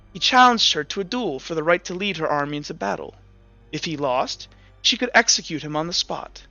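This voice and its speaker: A man's voice: high voice, pushed and bright, no room sound. A man running a tabletop game, telling a long lore story with frequent fillers and restarts. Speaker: game master. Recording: dull lo-fi sound with faint music.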